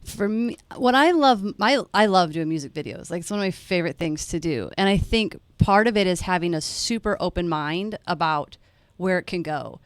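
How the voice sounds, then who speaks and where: Low-voiced woman director, dry sound. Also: moderate pace, few pauses, no echo. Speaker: film director. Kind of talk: conversational advice to teens.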